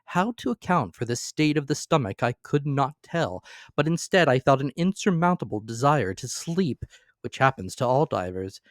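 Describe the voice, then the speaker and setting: A man's voice: medium pitch, no room sound, studio quality. A man narrating a classic story as a dramatic reading, with theatrical, emphatic phrasing. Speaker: audiobook narrator.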